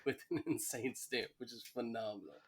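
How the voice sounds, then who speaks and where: A man's voice: mid-range voice, moderate pace, breathy edge. A man with a mid-range voice co-hosts a podcast. He gives casual commentary in an everyday conversational style. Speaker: podcast co-host.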